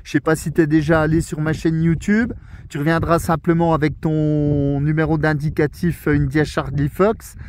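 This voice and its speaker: A man with a high voice chats on the radio from a mountain at night, relaxed and friendly as he walks through his equipment. A faint hiss sits under his voice. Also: moderate pace. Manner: relaxed, friendly, explains gear. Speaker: radio amateur and YouTuber. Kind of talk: casual radio chat.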